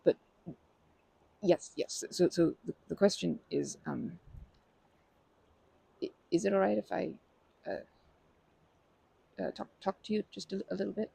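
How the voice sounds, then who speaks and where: A performer voicing a timid, anxious, kind park-goer in an ASMR roleplay, halting and over-apologetic, with a breathy, creaky voice. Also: medium pitch, warm tone, full character voice. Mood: hesitant, polite. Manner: halting, stammering, over-apologetic, nervous laughter. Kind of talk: ASMR roleplay, improvised-sounding monologue to listener.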